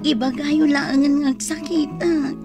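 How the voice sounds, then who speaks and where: A woman's voice: high-pitched, fairly even intonation, moderate pace, over music. A woman with an Ilocano accent acting in a radio drama as an evasive young woman who makes excuses to her suitor. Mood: whiny, complaining. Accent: Northern Philippine, Ilocano.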